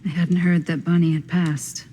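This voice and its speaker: An actress playing a composed, probing middle-aged woman in a video game, measured and dryly serious. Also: fairly low pitch, flat delivery, dark tone, breathy edge, rough recording. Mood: gentle, regretful. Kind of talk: naturalistic video game acting.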